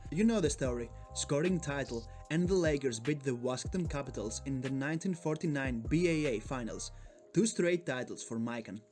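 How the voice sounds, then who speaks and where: A man's voice: medium pitch, animated, normal speed, darkish tone, soft background music. A man from Greece with a Greek accent, narrating a sports video in a hyped, joking, profane way. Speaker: sports YouTuber.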